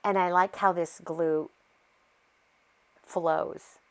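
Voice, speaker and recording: Chatty American woman, slightly low, congested. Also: fairly even intonation, extremely slow, smooth. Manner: chatty, rambling, easily sidetracked. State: congested with a sore throat from a lingering cold. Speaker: craft video host. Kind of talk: casual narration of a craft process.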